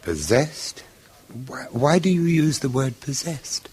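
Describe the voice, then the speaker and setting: An actor narrating in the first person as a brooding man who recalls a ruined violin concert, delivering his lines in a measured, grave and dramatic way for a 1970s radio drama. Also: on the high side, sing-song, darkish tone, slightly rough, full character voice.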